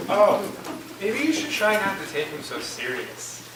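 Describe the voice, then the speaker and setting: Man as easygoing college student, casual, hesitant, fairly high pitch, far from the mic in a reverberant room. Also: very animated, measured pace. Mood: easygoing, curious. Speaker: actor in a staged play reading. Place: live staged reading in a reverberant room. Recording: echoey room, distant mic, faint noise.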